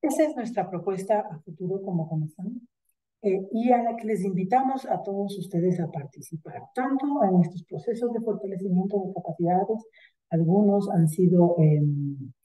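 A middle-aged woman giving closing remarks on a video call, measured and hesitant, with many fillers. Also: medium pitch, warm tone, low-quality recording. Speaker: institutional representative. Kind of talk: closing remarks at an online event. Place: indoors with virtual background.

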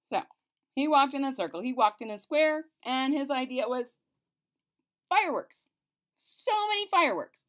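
A woman's voice: high voice, expressive, a little slow, long pauses, a bit bright. A middle-aged woman reading a children's story aloud with dramatic, emphatic expression. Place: small room indoors.

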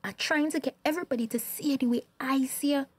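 A woman's voice: high voice, fast talker, close to an everyday voice. An actress with a Tobagonian accent playing an evasive young daughter who shields her crooked boyfriend in a radio drama. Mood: feigned weakness.

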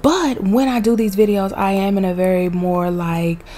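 A woman's voice: mid-range voice, fairly even intonation, normal speed, mellow, slight background noise. A young woman, chatting peppily in a casual vlog voice-over recorded at home on a desk microphone. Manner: chatty, peppy, uses Southern US slang. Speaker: vlogger. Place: home room with loud heater.

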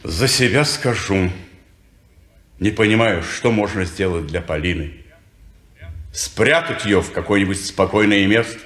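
An actor playing a weary, bitter, reserved man in a 1950s radio play, speaking in a halting, guarded way. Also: on the low side, fairly bright tone, echoey room. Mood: brooding, guarded.

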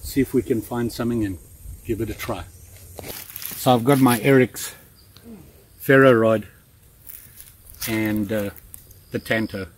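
A man in his sixties giving a relaxed, chatty hands-on knife review outdoors in the woods, with plenty of fillers and restarts. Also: lowish voice, a little slow, long pauses, slight background noise. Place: outdoors in woods, kneeling in the undergrowth.

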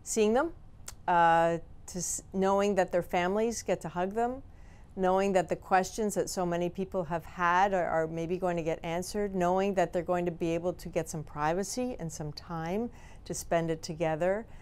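A woman's voice: on the low side, fairly even intonation, moderate pace, smooth. A woman news anchor speaking live from a TV studio, conversational and smiling as she talks. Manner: warm, smiling, conversational on air.

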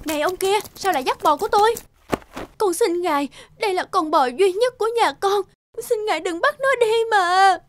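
A woman's voice: very high voice, expressive, moderate pace, dry recording. An actress playing a poor, desperate, defiant peasant woman in a cartoon folk tale, wailing and indignant. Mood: pleading. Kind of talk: animated folk tale dub acting. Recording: music under the voice.

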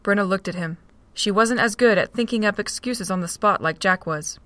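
A woman narrating a fan audio drama with a steady storytelling read. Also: mid-range voice, normal speed, no echo. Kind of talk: scripted narration.

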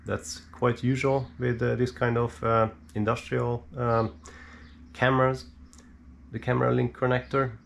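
A man giving an unscripted hands-on technical explanation in a hesitant, halting way, thinking aloud with many pauses. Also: mid-range voice, little pitch movement, vocal fry, a little hiss or hum. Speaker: hobbyist presenter showing his equipment. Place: indoor room at a cluttered worktable.

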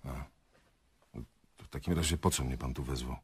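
A man's voice: rumbling low, moderate pace, husky, exaggerated voice. An actor playing an older male theatre director in a radio play, earnest, hesitant and reflective. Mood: protesting.

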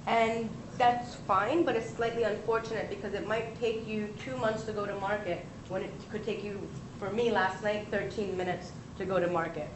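American woman presenting in an echoey hall. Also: medium pitch. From United States. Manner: chatty, quick asides and self-corrections. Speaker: tech workshop presenter. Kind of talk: live tutorial presentation. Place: large conference room. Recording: echoey conference room with background noise.

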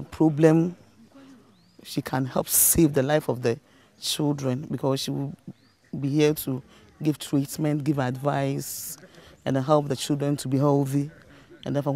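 A man gives an earnest interview answer outdoors, circling back over his points. His voice sits a little high for a man, with a dark, gravelly tone.

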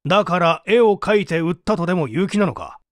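A man's voice: high-pitched, lively intonation, fairly quick, no echo, studio quality. A man playing a stern, relentless prosecutor with an authoritative, pressing manner in a dramatised mystery reading. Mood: sceptical. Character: stern male prosecutor, relentless, accusing interrogator. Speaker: audiobook reader.

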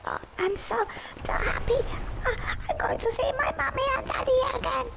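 A woman's voice: very high-pitched, creaky, exaggerated voice. A woman voicing a sweet, hesitant little girl in a video game cutscene. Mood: gushing. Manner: slow, hesitant, babyish. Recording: game audio with background noise.

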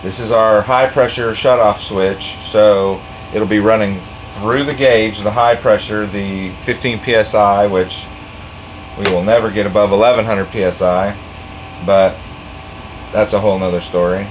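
A man in his forties with a lowish voice explains, step by step, a project he built himself. He talks casually, with plenty of fillers, in a cluttered home workshop. A camera mic a few feet away catches him over a clear background hum. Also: fairly even intonation, unhurried, dull audio. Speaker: boat owner and DIY tinkerer.

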